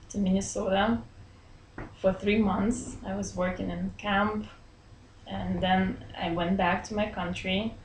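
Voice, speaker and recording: Woman, 30s, from Belarus, friendly, laughs often, pauses for words, small office, webcam, roomy echo. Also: medium pitch. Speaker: interviewee. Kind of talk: casual interview chat. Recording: roomy echo, faint room hum.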